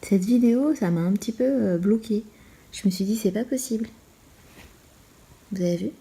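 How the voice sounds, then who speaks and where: A woman's voice: medium pitch, moderate pace, vocal fry, mellow. A woman in her thirties giving a casual, chatty product review as a beauty vlogger, confiding and self-deprecating. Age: 30s. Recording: roomy, echoey indoor sound.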